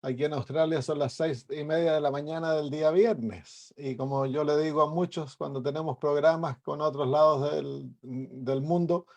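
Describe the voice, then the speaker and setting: A middle-aged man with a Chilean accent speaks as an evangelist pastor giving his testimony. His voice is slightly high for a man, with a dark, relaxed tone. He tells his story conversationally, pausing now and then as he searches for words. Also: creaky. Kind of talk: personal testimony.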